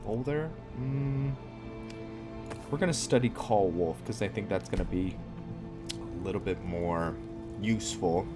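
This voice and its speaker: A man giving casual let's play commentary, thinking aloud in short, musing phrases. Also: on the low side. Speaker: gamer narrating own roleplay playthrough. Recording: loud music behind the voice.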